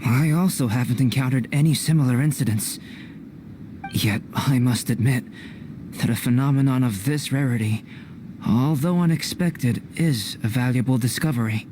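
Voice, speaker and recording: A man acts out a young game alchemist with a calm, detached air. He speaks formally and precisely, like a scholar at work, with clear noise behind his voice. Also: mid-range voice, lightly acted. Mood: calm, analytical. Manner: measured, formal, analytical delivery. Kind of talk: scripted video game cutscene acting.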